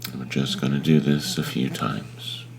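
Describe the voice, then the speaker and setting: A man leading a guided meditation in a gentle, unhurried manner with long pauses between phrases. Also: extremely low pitch, fairly even intonation, vocal fry, a little hiss or hum. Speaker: meditation teacher.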